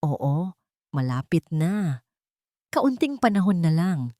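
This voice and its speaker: A scheming fiancée in a dramatic romance audio story, acted out by a woman narrator. Her pitch is fairly low for a woman and moves in lively swings. The sound is dry, with no room around it. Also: slow pace, dry recording. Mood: cold, conspiring. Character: scheming woman, sweetly tearful fiancée to faces, coldly menacing in secret.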